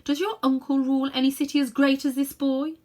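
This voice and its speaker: A woman's voice: high voice, flat delivery, a little fast, darkish tone, pitched above the performer's own voice, lightly acted. A woman reading a children's storybook, voicing a proud, ruthless sorceress queen with haughty, emphatic, declamatory delivery. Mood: grand, boastful.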